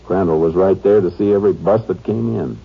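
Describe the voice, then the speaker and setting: An American actor playing a shrewd, laconic Texas Ranger in a 1950s radio drama, terse and matter-of-fact. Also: male voice, low voice, brisk, gravelly, husky, exaggerated voice. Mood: businesslike. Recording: muffled old radio recording, faint music.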